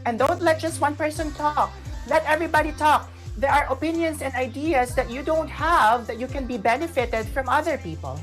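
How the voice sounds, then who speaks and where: A woman's voice: high voice, brisk, few pauses, fairly bright tone. A woman with a Filipino accent co-hosting an online radio show, explaining things casually and emphatically. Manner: emphatic, mixing in Tagalog. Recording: music playing behind the voice. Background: background music.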